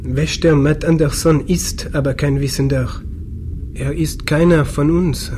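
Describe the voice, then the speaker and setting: A man's voice: a little high, steady tone, measured pace, darkish tone, slight background noise, lightly acted. A man playing a sceptical spaceship crewmate in a radio play, doubting and matter-of-fact. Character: sceptical male crewmate of a sect leader. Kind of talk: science fiction radio play acting.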